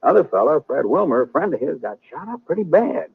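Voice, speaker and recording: A garrulous, friendly Old West blacksmith with a folksy rural Western drawl. The voice is husky and rather high, with lively swings of pitch, on an old radio drama recording. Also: male voice, fairly quick, dry recording, narrow, tinny audio, heavily acted. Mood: gossipy. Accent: rural Western American. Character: talkative, friendly small-town frontier blacksmith.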